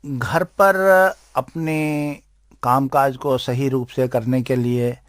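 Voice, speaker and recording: A man with an Indian accent giving explanatory interview answers as an expert, speaking in deliberate, halting phrases. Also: mid-range voice, fairly even intonation, no echo. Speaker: interviewed expert on aids for blind people.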